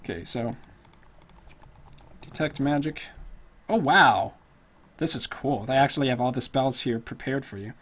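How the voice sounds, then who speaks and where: A man with a slightly hoarse, middle-pitched voice talks through a tabletop character build at his own loose pace. He rambles and thinks aloud, full of ums and restarts. Keys clatter now and then behind him. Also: unhurried, long pauses, fairly bright tone. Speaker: tutorial host. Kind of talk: casual screen-recorded game tutorial.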